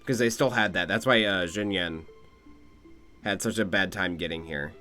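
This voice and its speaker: Low-voiced man streaming a game. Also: normal speed, pushed and bright. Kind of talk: casual live game commentary. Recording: music behind the voice.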